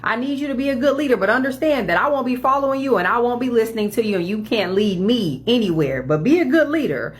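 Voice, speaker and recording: A woman giving an opinion monologue, mocking demands in quick sarcastic bursts. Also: a little high, animated.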